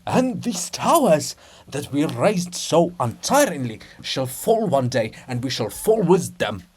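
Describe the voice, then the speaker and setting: A man's voice: on the high side, sing-song, unhurried, darkish tone, breathy edge, heavily acted. A man voicing a pompous, solemn monk chronicler monument, declaiming loftily with pathos in a dramatic reading of a farce.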